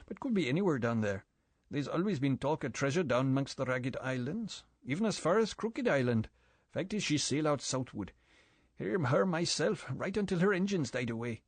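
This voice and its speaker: A man's voice: fairly high pitch, no room sound, pitched above the performer's own voice. A man voicing a gossipy old island boatman, chatty and drawling in broken grammar.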